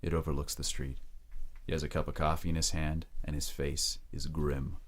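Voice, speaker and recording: A man's voice: a bass voice, level tone, normal speed. A man narrating an audio drama from a script, in present-tense storytelling style.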